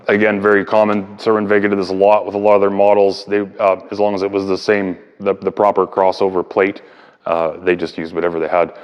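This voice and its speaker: Male hobbyist host, low clean voice, informal hands-on explainer delivery, thinking aloud, reverberant room sound. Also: clean tone, lo-fi sound. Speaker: hobbyist video host and speaker restorer. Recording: echoey room with long reverb.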